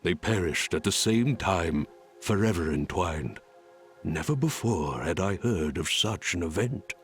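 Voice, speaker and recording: An actor playing a solemn, wise old creature elder in a video game, grave and theatrical, with a husky voice. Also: male voice, deep voice, lively intonation, unhurried, soft background music. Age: older. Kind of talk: video game character acting.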